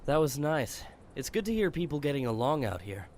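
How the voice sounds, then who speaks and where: A woman playing an earnest, loyal sidekick in an audio drama, soft and casual. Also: low-pitched, big pitch swings, brisk. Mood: content. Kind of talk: post-apocalyptic audio drama acting.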